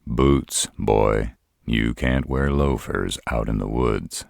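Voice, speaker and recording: A man's voice: rumbling low, slow, vocal fry, darkish tone, no room sound, studio quality, exaggerated voice. A man voicing a stern, shrewd middle-aged father-in-law in a roleplay audio drama, blunt and commanding in manner.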